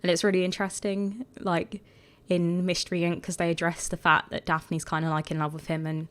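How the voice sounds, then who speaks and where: A woman with a croaky voice, chatting casually and rambling a bit as she talks over her video. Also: lowish voice, flat delivery, brisk. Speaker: gaming video creator.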